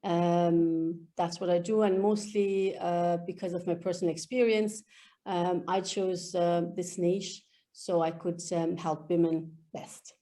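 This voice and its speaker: Expressive woman with a Farsi-speaking background. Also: fairly low pitch, a little slow. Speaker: EFT practitioner, guest. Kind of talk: conversational interview answers.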